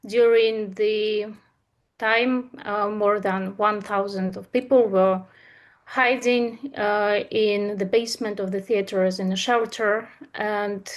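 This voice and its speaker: A woman in her thirties from Eastern Ukraine, speaking English with a Ukrainian accent, presenting a talk with earnest delivery and frequent pauses. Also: medium pitch. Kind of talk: presentation to an online audience.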